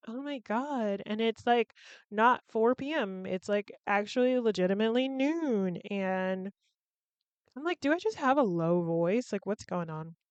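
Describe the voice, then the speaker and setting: A woman's voice: medium pitch, clear, resonant tone, dry recording. A young woman hosting a solo podcast, chatting in a rambling, candid way with lots of "like" and some swearing. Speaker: podcast host.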